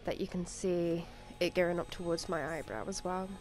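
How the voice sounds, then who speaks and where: A woman's voice: on the low side, normal speed, darkish tone. Chatty young woman with a cold. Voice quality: slightly stuffy from a cold. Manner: chatty, rambling, lots of ums and likes. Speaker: makeup vlogger. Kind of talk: casual makeup tutorial voice-over. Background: faint music.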